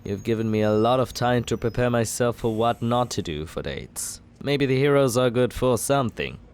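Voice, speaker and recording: A man in an ASMR roleplay as a villain, talking smoothly and persuasively in a teasing, flirtatious way. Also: mid-range voice, normal speed, darkish tone.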